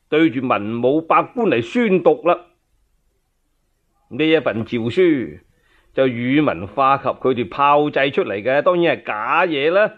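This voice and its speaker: A man's voice: a little high, narrow, tinny audio. A man with a Cantonese accent narrating as a storyteller in a dramatic, traditional radio style.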